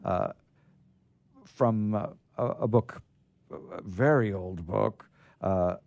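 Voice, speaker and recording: A man's voice: lowish voice, slow pace, gravelly, a little mellow, no echo. A man hosting a radio show, chatting casually and reading old stories aloud.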